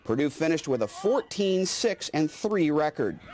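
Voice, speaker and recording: A man's voice: a little high, very animated, normal speed, very noisy. A man reading scripted newsreel narration as the narrator.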